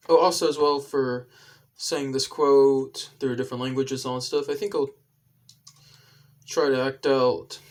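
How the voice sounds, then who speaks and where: A casual online video creator speaks very slowly. The delivery is halting and deliberate, wandering through explanations in a rambling way. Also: mid-range voice.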